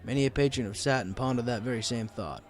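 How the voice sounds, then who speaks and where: A man's voice: medium pitch, quick pace, faint music behind. A man narrating a scripted first-person story in a wry, conversational storytelling way. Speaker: audiobook narrator.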